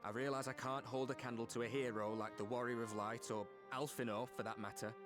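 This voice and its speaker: A man's voice: medium pitch, fairly even intonation, fairly quick, lightly acted. A man playing an earnest, plain-spoken young soldier in a video game, heartfelt and determined. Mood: earnest resolve. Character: earnest, self-doubting but determined young male soldier. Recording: loud music underneath. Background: orchestral game music.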